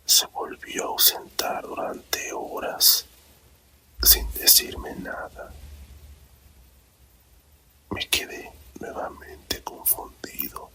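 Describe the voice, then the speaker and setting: A man softly whispering a romantic story in a slow, deliberate ASMR narration, close to the microphone. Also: low-quality recording. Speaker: storyteller reading own written story.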